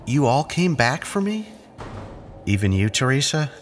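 A man's voice: mid-range voice, big pitch swings, a little slow, soft background music. An actor playing a sarcastic, defiant man in an audio drama, with a casual delivery. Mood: flippant. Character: flippant, defiant, wounded man, sarcastic under pressure.

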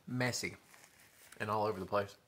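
Southern guy, 30s, eating-show host; chatty, calls viewers y'all, bright forward tone. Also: on the low side, steady tone, moderate pace, a little hiss or hum. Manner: chatty, addresses viewers as y'all, chews between remarks. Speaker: mukbang vlogger. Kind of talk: casual eating show chat. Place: living room with sofa and window blinds. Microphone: camera in front at table distance.

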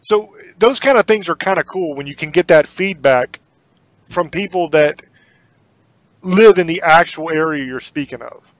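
A man's voice: fairly high pitch, normal speed, no room sound, low-quality recording. A man hosting a podcast, talking in a casual, earnest, rambling way, often repeating words as he goes. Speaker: podcast host and horror author. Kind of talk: casual sign-off talk.

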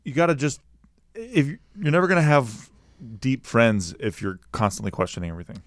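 A young man from the US East Coast co-hosts a casual podcast with teasing, deadpan banter. He talks quickly at a middle pitch. The sound is dry and close on a desk mic. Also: no echo. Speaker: podcast co-host. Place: small podcast studio. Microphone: desk mic on a boom arm.

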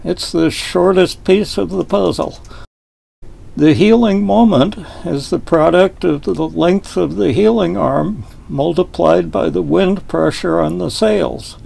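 An older man with a folksy, deliberate manner, explaining boat design in a wood-panelled cabin, filmed from a fixed camera across a table. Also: sits high, vocal fry, a little mellow, breathy edge. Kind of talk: explanatory video lesson.

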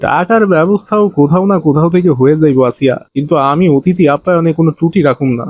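A man's voice: fairly high pitch, fast talker, darkish tone, slight background noise, close to an everyday voice. A man playing a poor, devout village husband in a cartoon, speaking earnest, pleading colloquial dialect with a Bangladeshi accent. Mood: reassuring, devout. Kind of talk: animated moral story dialogue.

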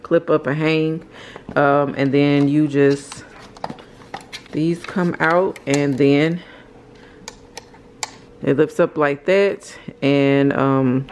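Casual American woman vlogger, noisy recording. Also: deep voice. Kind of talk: casual haul show-and-tell. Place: indoors at home. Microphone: handheld camera, speaker behind it. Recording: clear background noise and handling clicks.

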